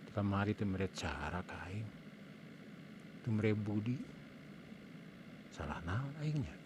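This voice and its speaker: A man's voice: on the low side, big pitch swings, takes it slowly, background noise. A man with a Sundanese accent playing an arrogant, scheming young suitor in a comic cartoon, muttering and exclaiming to himself. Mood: brooding, scheming. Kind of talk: Sundanese comic animated storytelling, acted dialogue.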